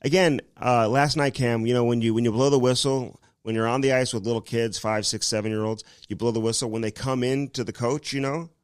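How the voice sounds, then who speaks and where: A man's voice: mid-range voice, fairly even intonation, a little fast, hardly any pauses, clear, resonant tone, no room sound, studio quality. A man co-hosting a podcast, rambling through ad reads and casual chat with lots of fillers, sounding a little nasal from a cold. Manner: rambling, filler-heavy delivery. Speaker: podcast co-host.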